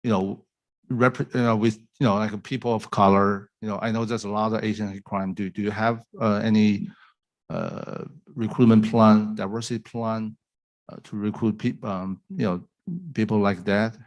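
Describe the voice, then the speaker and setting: A man at a community forum asking questions haltingly, searching for words with many fillers. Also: on the low side, little pitch movement.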